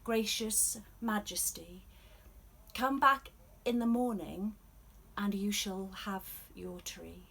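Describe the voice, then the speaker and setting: Woman as a composed, bright-voiced storybook girl. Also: mid-range voice, takes it slowly, creaky, husky, full character voice. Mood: polite, firm. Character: poor, gracious, quietly steadfast young girl painter.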